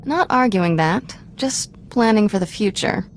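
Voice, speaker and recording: A woman's voice: mid-range voice, expressive, unhurried, a little hiss or hum. A woman playing a brash, confident young Jedi companion in a video game, blunt, informal and self-assured. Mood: brash conviction. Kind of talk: video game character acting.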